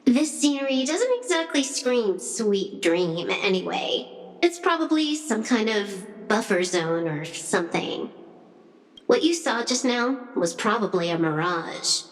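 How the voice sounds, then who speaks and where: A woman's voice: mid-range voice, very animated, measured pace, roomy sound, in a large hall, faint music behind, low-quality recording. An actress playing a cool, wry young female hacker in a video game, with a dry, teasing, laid-back delivery. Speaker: game character voice actor. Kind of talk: video game dialogue acting.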